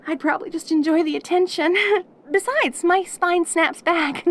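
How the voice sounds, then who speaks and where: A woman's voice: very high-pitched, unhurried. A woman voicing a perky, lonely yandere demon girl in an intimate audio roleplay, eager and stammering as she dotes on the listener. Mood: nervous, devoted. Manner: eager, stammering, giggly, devoted.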